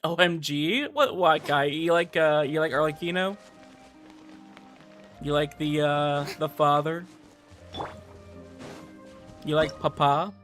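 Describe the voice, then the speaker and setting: A man streaming a video game, chatting casually and excitably in slangy, informal language over game music. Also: a little high, slow, long pauses. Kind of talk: casual livestream commentary and chat.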